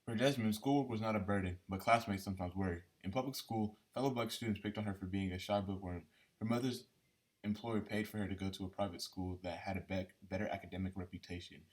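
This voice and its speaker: A man with a very low voice reads a biographical text aloud. His tone is rough and husky. He goes a little haltingly, as someone does when following unfamiliar print. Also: fairly even intonation, rough recording.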